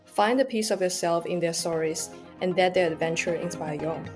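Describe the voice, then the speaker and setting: Lower-pitched woman hosting a podcast. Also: fairly quick, over music. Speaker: podcast host. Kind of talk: podcast introduction and interview questions.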